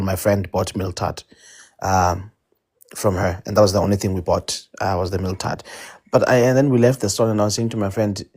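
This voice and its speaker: A man's voice: deep voice, darkish tone, slightly rough. A man telling a story in casual, rambling conversation, hesitating and restarting often. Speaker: debate participant.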